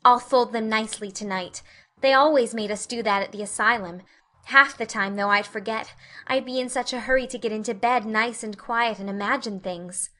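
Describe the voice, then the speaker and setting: A woman's voice: on the high side, steady tone, moderate pace, sharp tone. A woman reading an audiobook, voicing an eager, imaginative young girl who rambles earnestly. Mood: dreamy.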